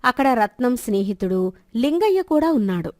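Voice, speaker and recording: A woman narrates a scripted village moral tale. Her voice is high and the recording is dry, with very little room sound. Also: big pitch swings, a little fast, no echo.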